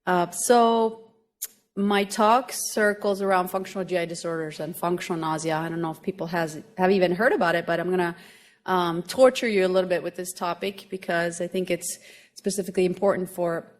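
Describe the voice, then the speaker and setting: A woman from Finland with a Swedish accent, giving an academic medical lecture to a room of doctors in an explanatory, gently humorous way with frequent hesitations. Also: fairly low pitch, fairly even intonation, a little fast. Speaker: grand rounds lecturer, pediatric gastroenterologist.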